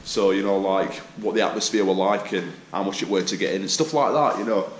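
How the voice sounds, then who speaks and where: A man's voice: low-pitched, brisk, a little hiss or hum. A young man, walking through an empty old building and narrating casually with awe, recorded on a handheld camera. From England. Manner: chatty, awed, points things out. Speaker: urban explorer. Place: large empty indoor building. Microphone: handheld action camera.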